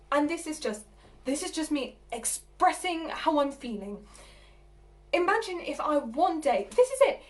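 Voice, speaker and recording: An actress plays a flustered, exasperated woman in a stage monologue. Her voice is high. She stammers, repeats herself and breaks from halting phrases into agitated bursts as she argues with her partner. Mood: flustered, searching. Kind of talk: dramatic theatre monologue.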